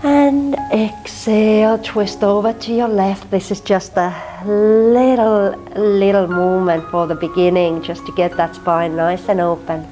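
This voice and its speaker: A woman from Finland with a Finnish accent, teaching a gentle yin yoga class and softly cueing breath and movement. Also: medium pitch, steady tone, unhurried, hardly any pauses, soft background music, low-quality recording. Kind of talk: guided yin yoga instruction.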